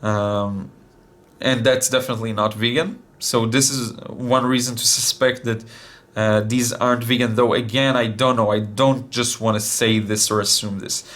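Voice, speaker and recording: Young guy painting on camera, just chatting thru it, voice kinda deeper since he was sick. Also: moderate pace. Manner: relaxed, thinking aloud with many fillers. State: voice deeper after being sick. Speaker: art channel host. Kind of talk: casual painting demonstration.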